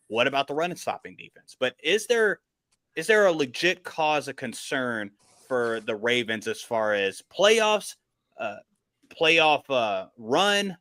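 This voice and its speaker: A man's voice: mid-range voice, pushed and bright, no echo. A man leading a casual sports debate, speaking emphatically and thinking aloud as he repeats his points.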